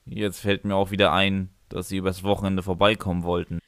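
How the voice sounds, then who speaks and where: Low-voiced man narrating, close and dry. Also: little pitch movement, a little fast, dry recording. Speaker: narrator of a fan fiction audio story. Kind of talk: scripted first-person comic narration.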